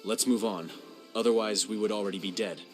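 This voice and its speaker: A man's voice: lowish voice, steady tone, a little fast, soft background music, lightly acted. A man playing a stern, ruthless lord commander in a video game cutscene, with a severe, authoritative manner. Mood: grave.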